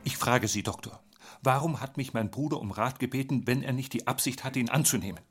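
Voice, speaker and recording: An actor playing a proud, aloof government gentleman in a radio drama, speaking formally and precisely. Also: medium pitch, a little fast, over music, close to an everyday voice. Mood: indignant.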